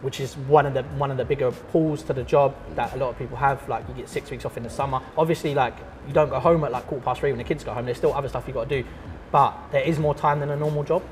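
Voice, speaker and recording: A man, an artist and teacher chatting casually in an interview, relaxed and full of fillers and restarts. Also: medium pitch, fast talker, few pauses, faint music behind. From London.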